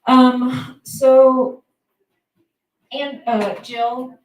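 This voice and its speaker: A woman moderating a panel and asking halting questions with many pauses. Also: sits high, level tone. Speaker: event host, moderator. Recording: echoey room, distant mic.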